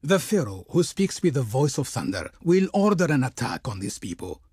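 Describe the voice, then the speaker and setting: An actor playing a proud, ceremonious Egyptian emissary in a video game, declaiming flowery, servile words with formal reverence. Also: male voice, mid-range voice, very animated, moderate pace, a little mellow, breathy edge, no echo, studio quality. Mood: solemn, urging. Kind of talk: theatrical video game character dialogue.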